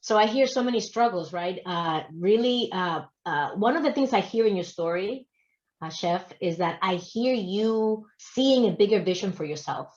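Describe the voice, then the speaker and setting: A woman's voice: mid-range voice, lively intonation, normal speed, darkish tone. A woman in her forties moderating a panel over a video call, affirming and summing up her guests' stories. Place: home office with bookshelves. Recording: dull lo-fi video call audio.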